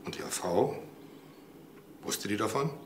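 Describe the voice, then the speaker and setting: A man's voice: fairly low pitch, animated, slow pace, slight background noise. An actor playing a blunt, sceptical male police detective in a crime drama. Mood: probing.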